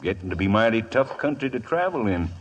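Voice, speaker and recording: An actor playing a cool, greedy gun trader in a radio western, husky and insinuatingly polite. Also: male voice, on the low side, very animated, fairly quick, lo-fi sound, a little hiss or hum. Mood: sly, insinuating. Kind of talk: 1950s radio western acting.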